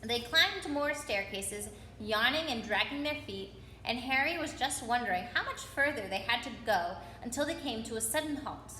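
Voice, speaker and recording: Female storyteller for a children's novel: expressive, bright delivery, roomy echo with faint noise. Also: a little high, moderate pace. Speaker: story reader. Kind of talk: reading a children's novel aloud.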